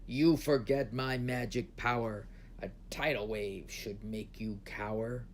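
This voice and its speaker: A man's voice: mid-range voice, animated, measured pace, a little mellow. A man voicing a proud, smitten and vengeful storybook dragon who speaks and threatens in rhyme.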